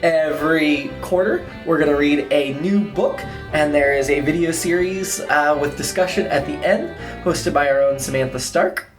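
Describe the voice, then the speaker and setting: A woman's voice: sits low, moderate pace, hardly any pauses. A woman hosting a podcast, reading an introduction and promo. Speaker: podcast host. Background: guitar music.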